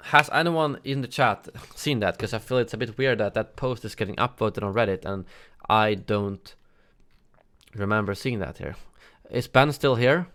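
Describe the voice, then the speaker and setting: A man's voice: on the low side, normal speed, fairly bright tone. A man hosting a gaming news livestream, chatting casually and answering viewers' chat with frequent fillers and self-corrections.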